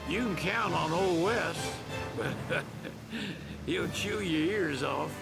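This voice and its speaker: An older man acting a weathered, folksy old mechanic with a gravelly Southern American drawl in a video game cutscene. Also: a little high, slow, full character voice, low-quality recording. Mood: easygoing. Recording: loud music behind.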